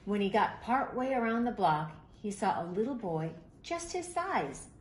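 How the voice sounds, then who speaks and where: Animated woman reading a storybook, roomy sound. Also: mid-range voice, moderate pace. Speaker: storybook reader. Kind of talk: expressive read-aloud of a children's picture book. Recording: roomy echo, faint background noise.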